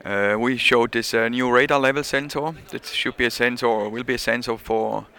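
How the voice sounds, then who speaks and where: A man's voice: medium pitch, fairly quick, bright, forceful tone, clean tone. A man with a Swiss accent, not a native English speaker, being interviewed about his product at a trade show and talking in a hesitant way, with many fillers and restarts. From Switzerland. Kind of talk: casual interview.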